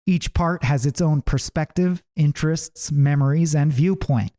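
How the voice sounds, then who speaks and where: A man reading his own audiobook as scripted narration, in a measured, deliberate way with pauses. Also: a little high, mellow.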